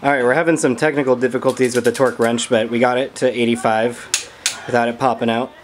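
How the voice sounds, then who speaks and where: Young male vlog host, casual shop talk, even mid voice, noisy home garage. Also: medium pitch, fast talker. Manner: relaxed, explaining as he works, many fillers. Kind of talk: casual chat while working on an engine.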